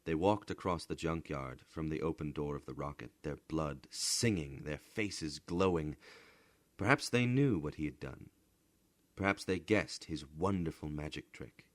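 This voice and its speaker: A man with an extremely low voice narrates fiction as a storyteller. His delivery is slow, deliberate and theatrical, sometimes hushed, in a dry, close sound. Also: no room sound. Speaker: storyteller, voice actor. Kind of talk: dramatic literary story narration.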